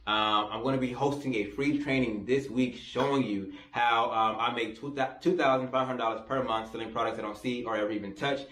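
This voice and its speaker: Young male vlogger, quick rambling delivery, stumbles and restarts, pushy pitch, roomy echo. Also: steady tone, a bit bright. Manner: rambling, repeats words and restarts, persuasive pitch. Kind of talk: casual to-camera commentary.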